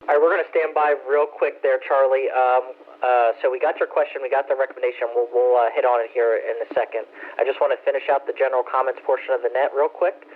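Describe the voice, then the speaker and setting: A man's voice: mid-range voice, steady tone, fast talker. A man moderating an amateur radio net in an informal, courteous, unscripted way. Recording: muffled two-way ham radio transmission.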